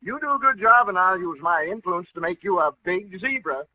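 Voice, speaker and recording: A man's voice: high voice, expressive, a little fast, sharp tone, no room sound, exaggerated voice. An actor playing a blustery, jolly, pompous lodge leader and businessman in a 1940s radio comedy. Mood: jovial, secretive. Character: blustery, secretive male lodge leader and real-estate boss. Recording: hissy, muffled old radio broadcast.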